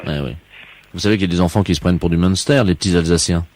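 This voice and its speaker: A man playing an earnest caller who insists on absurd nonsense, mock-serious and deadpan, in a prank phone call. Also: sits low, rapid-fire, close to an everyday voice. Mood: solemn, explaining. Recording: noisy radio prank phone recording.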